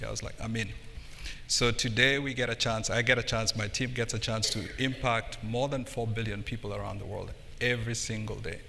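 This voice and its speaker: Bright-voiced Kenyan man in an echoey hall. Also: mid-range voice. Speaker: panelist, tech company internal audit executive. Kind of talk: speaking on a conference panel. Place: indoor event hall, live panel. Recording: echoey hall with background noise.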